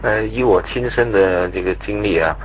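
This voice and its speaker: A man's voice: fairly low pitch, moderate pace, a little hiss or hum, poor audio. A man conducting a conversational radio-style interview, putting long, polite questions.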